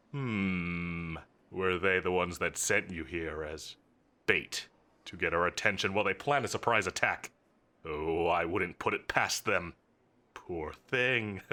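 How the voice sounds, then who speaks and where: Man acting as male villain boss, smug, mocking, lowish pitch, unhurried drawn-out delivery, theatrical audio drama roleplay. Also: sharp tone, full character voice. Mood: mocking, cold. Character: smug, menacing male supervillain boss toying with his enemy.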